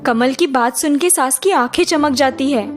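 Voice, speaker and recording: A woman with a high-pitched voice delivers scripted storytelling narration for a cartoon. Light music plays beneath her. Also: normal speed.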